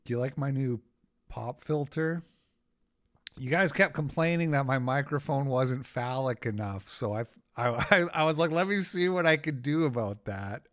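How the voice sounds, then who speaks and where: A man with a raspy voice hosting a casual livestream, rambling, sarcastic and quick to get irritated. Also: mid-range voice, fairly bright tone. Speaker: host.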